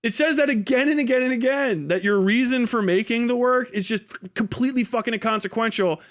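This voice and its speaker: High voiced guy doing livestream commentary, argumentative, repeats himself for emphasis, swears casually. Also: brisk. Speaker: live stream host. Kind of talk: off-the-cuff livestream legal commentary.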